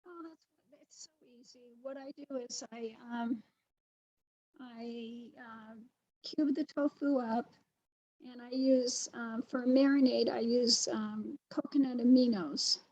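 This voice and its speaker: A soft-spoken woman explaining a recipe in a casual online class, hesitant with fillers. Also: fairly high pitch, steady tone, measured pace, muffled recording. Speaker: co-host. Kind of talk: casual chat.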